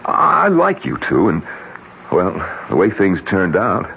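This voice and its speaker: A man's voice: deep voice, wildly swooping pitch, unhurried, creaky, heavily acted. An actor with an American accent playing a stubborn, tough-talking but generous man in an old-time radio drama. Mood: friendly. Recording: muffled, noisy radio drama recording.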